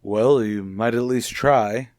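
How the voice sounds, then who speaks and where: A man acts a formal child psychologist in a vintage radio drama. His voice is mid-pitched and recorded very dry. He questions calmly and deliberately, with a probing clinical manner. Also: no echo, lightly acted. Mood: clinical, patient. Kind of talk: vintage radio soap opera acting.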